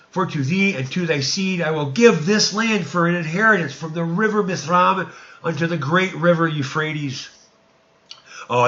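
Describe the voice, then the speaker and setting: A man's voice: fairly high pitch, pushed and bright, highs cut off, full character voice. A man voicing God in a scripture story, solemn and commanding, with archaic, declamatory phrasing. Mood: solemn promise.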